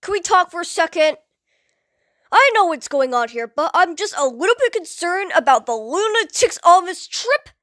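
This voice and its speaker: A woman voicing a sarcastic, anxious alley cat in a cartoon fandub, exasperated and shouty. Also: very high-pitched, sing-song, moderate pace, exaggerated voice. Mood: exasperated, worried. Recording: noisy amateur home recording.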